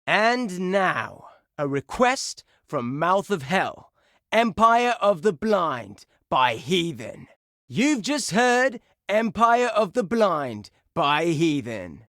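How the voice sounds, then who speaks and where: A brash game-radio metal DJ with a high-pitched, very animated voice. He announces tracks with punchy showmanship and takes his time over the words, in a dry, close studio sound. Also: takes it slowly, dry recording, heavily acted. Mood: cool, assured. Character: brash, excitable male heavy metal radio DJ. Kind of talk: radio DJ announcing songs and listener requests.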